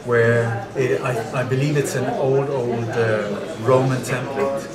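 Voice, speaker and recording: Earnest man over loud crowd chatter. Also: medium pitch, steady tone, slow pace. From Denmark and Sweden. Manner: earnest, repetitive, emphatic. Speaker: interviewee. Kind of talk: conference interview. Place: conference venue indoors. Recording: echoey conference venue with crowd.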